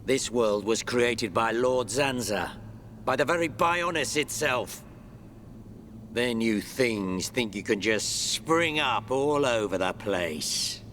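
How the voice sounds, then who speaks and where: A contemptuous servant of a god in a video game. His mid-pitched voice is theatrical and haughty, drawn out slowly over faint background noise. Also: lively intonation, fairly bright tone. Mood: scornful. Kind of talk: dramatic game cutscene acting.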